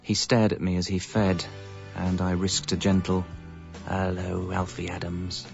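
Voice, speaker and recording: A man's voice: low-pitched, darkish tone. A man acting a guilt-ridden married father in a British radio comedy drama, in a British accent, with a wry, confessional manner. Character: guilt-ridden married father narrating his double life. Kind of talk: scripted first-person narration and acting in radio comedy drama. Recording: dull, muffled audio with music.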